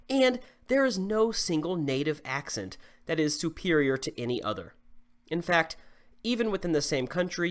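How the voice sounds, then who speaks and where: A man in his thirties from the southern US with a slight Southern accent, teaching English clearly and deliberately to camera from a small home studio. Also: on the high side. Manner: clear, deliberate teaching delivery with modeled examples. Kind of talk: scripted lesson to camera.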